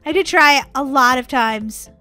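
A woman giving playful, excitable gameplay commentary in a childlike way as host of a gaming video. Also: high voice, a little slow, soft background music.

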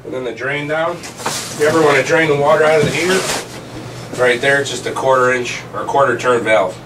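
A man's voice: medium pitch, brisk. A middle-aged man, a plumber, casually walking through shut-off valves and showing how they work, step by step. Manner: casual, plain-spoken, explaining step by step. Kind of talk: walkthrough training demo. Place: utility rooms of a commercial building, indoors. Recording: distant camera mic with air conditioning noise.

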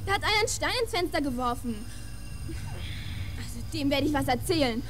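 A woman's voice: high voice, animated, brisk, music in the background. An actress playing a feisty teenage girl in a horror audio drama. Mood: alarmed, irritated.

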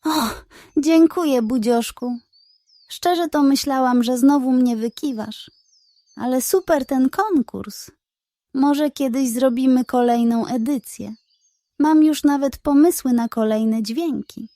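A woman's voice: sits high, takes it slowly, mellow, no echo. A woman voicing a naive, gullible and proud female hen in a children's storybook, with a vain, wide-eyed enthusiasm. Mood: pleased.

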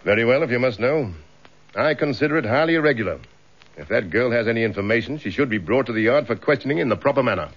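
An actor playing a gruff, by-the-book British police superintendent in a radio drama, curt and sardonic. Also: male voice, medium pitch, expressive, quick pace. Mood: stern, disapproving. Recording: dull, lo-fi radio drama recording.

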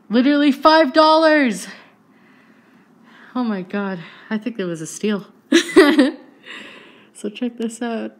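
Chatty stuffy-nosed woman vlogger. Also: fairly low pitch. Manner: chatty and excitable, laughs often. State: stuffy nose from allergies. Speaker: YouTuber. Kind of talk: casual vlog. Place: small bedroom indoors.

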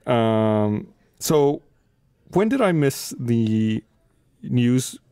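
A man's voice: medium pitch, sing-song, drawn-out words, mellow, smooth, dry recording. Dry-sounding man hosting casually. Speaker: podcast host. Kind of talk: casual panel chat about gaming news. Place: indoor podcast studio. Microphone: close studio microphone on desk.

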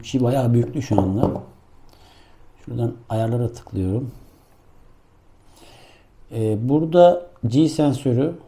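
A man's voice: medium pitch, mellow. A man doing a casual hands-on product review, explaining things step by step in an informal, improvised way. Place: indoor room.

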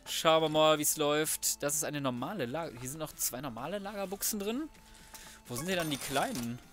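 A man's voice: on the high side, a little fast, a bit bright. A man giving casual, slangy gameplay commentary, chatting through each step as he goes. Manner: chatty, self-narrating, slangy. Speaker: let's player commentating.

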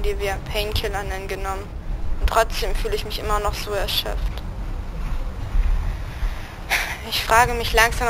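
A woman's voice: medium pitch, lightly acted. A young female performer playing an earnest thirteen-year-old girl recording an audio diary. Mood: weary. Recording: clear background noise, outdoor ambience. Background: ambient noise.

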